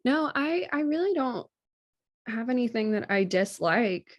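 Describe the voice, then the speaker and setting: A woman giving measured, thoughtful feedback in a casual group discussion on a video call. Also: fairly high pitch, animated. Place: indoors on a virtual meeting.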